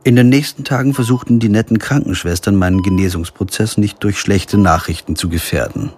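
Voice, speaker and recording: A man's voice: lowish voice, a little fast, darkish tone, a little hiss or hum, close to an everyday voice. An actor playing a wry, weary lawyer who narrates his own crime story in a radio drama. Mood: grim. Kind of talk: scripted first-person radio drama narration.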